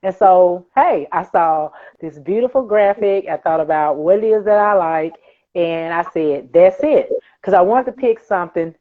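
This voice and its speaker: Casting: female playwright guest, lowish voice, emphatic and reflective, heard through thin phone-like audio. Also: normal speed. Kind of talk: conversational explanation of own work. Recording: tinny phone-like call audio.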